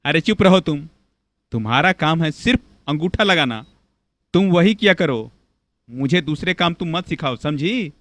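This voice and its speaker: A male voice actor plays a domineering, boastful small-town politician for a cartoon. His voice is a little high for a man, self-important and bossy, in a dry close recording. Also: animated, moderate pace, fairly bright tone, no echo. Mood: scolding, domineering. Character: bossy, corrupt, boastful local politician husband. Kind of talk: Hindi cartoon voice acting.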